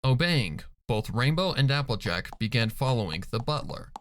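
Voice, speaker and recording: A man's voice: mid-range voice, sing-song, moderate pace, fairly bright tone, slight background noise. A man reading a scripted story as a dramatic narrator. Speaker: fan fiction reader and narrator.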